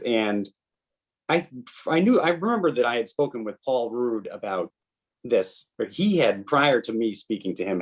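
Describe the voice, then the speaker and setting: A man's voice: mid-range voice, poor audio. A man on a committee, talking in loose, rambling asides and reading an email aloud at a meeting. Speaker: committee member. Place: public meeting room.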